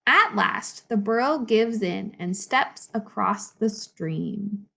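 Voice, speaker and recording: A woman speaking as an elementary school teacher, reading a children's picture book aloud in an expressive, instructive way and pausing to explain and ask questions. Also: medium pitch, vocal fry.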